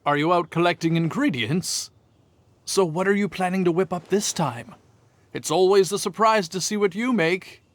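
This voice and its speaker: An actor playing a polite, friendly, modest gentleman winemaker in a video game, speaking in a courteous, earnest, slightly hesitant way. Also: high-pitched, moderate pace, close to an everyday voice. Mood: friendly, musing. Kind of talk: scripted video game character acting. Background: background music.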